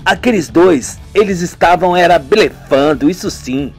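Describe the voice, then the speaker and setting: A man's voice: sits high, measured pace, breathy edge, faint music behind, heavily acted. A man voicing a scoffing young male tourist who mocks mildly. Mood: mocking. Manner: mocking.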